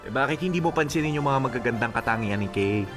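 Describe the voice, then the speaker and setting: An actor with a Filipino accent playing an easygoing young office buddy in a radio drama, teasing and coaxing. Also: male voice, medium pitch, expressive, fast, close to an everyday voice. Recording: music bed underneath.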